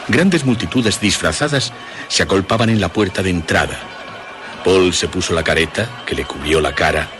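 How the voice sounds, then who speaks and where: Very deep male storyteller, lively delivery. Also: background noise. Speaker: narrator. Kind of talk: scripted suspense story narration. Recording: music behind the voice. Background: music.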